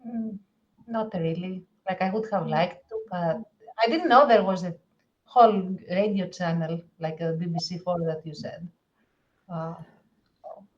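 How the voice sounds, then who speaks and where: A woman's voice: on the low side, a little slow, pauses often. A woman in casual conversation, recorded in dull lo-fi audio. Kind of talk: casual chat.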